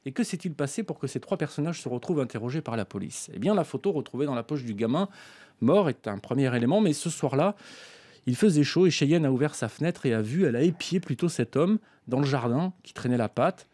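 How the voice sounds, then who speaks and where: A man's voice: mid-range voice, lively intonation, quick pace, mellow. A middle-aged man presenting a scripted book review in a radio studio, reading passages aloud. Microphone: studio microphone, headset monitoring.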